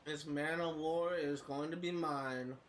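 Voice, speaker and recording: Brief: stuffy, scratchy-voiced male game streamer, a bit high, faint hum behind. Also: fairly even intonation, unhurried. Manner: rambling, with frequent uhs and coughs. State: congested head cold, scratchy throat. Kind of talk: casual gameplay commentary.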